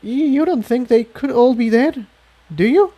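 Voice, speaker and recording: A man puts on a young female pony for a storybook, pitched far up. She is gentle and anxious, speaking with tender concern. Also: normal speed. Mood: nervous.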